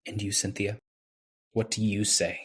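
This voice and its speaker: A man's voice: lowish voice, flat delivery, a little slow, a bit bright, slightly rough. A man playing a wronged young medical intern, bitter and brooding, in old-time radio drama style.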